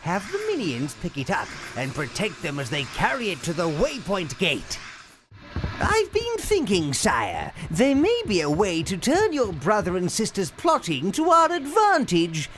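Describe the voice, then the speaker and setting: Servile old minion advisor, wildly swooping delivery. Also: male voice, high voice, wildly swooping pitch, measured pace, noisy background, exaggerated voice. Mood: cunning, gleeful. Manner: servile, scheming, theatrical. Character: sly old male minion advisor to a dark lord. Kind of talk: scripted video game character acting. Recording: music behind the voice.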